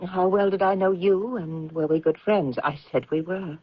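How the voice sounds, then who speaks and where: An actress playing an anxious, vulnerable married woman in a radio mystery, gentle and hesitant in manner. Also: on the low side, normal speed, dry recording, natural voice. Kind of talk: radio drama acting. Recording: dull, dry vintage radio recording.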